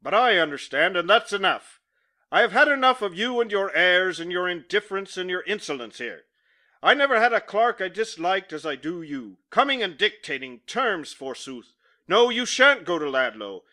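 A man's voice: high-pitched, big pitch swings, normal speed, pushed and bright, exaggerated voice. A man voicing a stern, contemptuous male employer who scolds curtly and emphatically. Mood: scornful.

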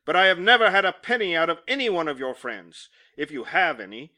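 A man's voice: a little high, very animated, brisk, pushed and bright, full character voice. A man doing a scornful, curt office boss. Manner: curt, scolding, emphatic. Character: stern, contemptuous male office employer.

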